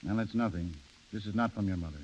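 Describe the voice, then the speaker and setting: An actor playing a proud, wounded middle-aged American businessman with a blunt, forceful way of talking, in 1930s radio drama style. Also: fairly low pitch, fast, slight background noise, lightly acted. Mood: gloomy, impatient. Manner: blunt, forceful, plain-spoken. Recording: dull, lo-fi 1930s radio recording.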